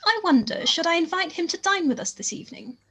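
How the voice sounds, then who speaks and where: A woman acts a courteous young visitor in a period radio drama. Her high voice is polite and sensible. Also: expressive, quick pace, fairly bright tone, full character voice. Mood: polite sympathy. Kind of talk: period drama live-read radio play.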